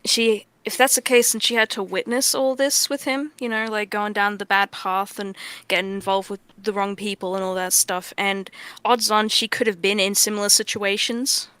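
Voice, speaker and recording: Female creator-director for casual stream discussion. Crisp, forceful, even delivery, earnest and wordy, swears freely, very dry sound. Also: medium pitch, normal speed, dry recording. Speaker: audio drama creator and director.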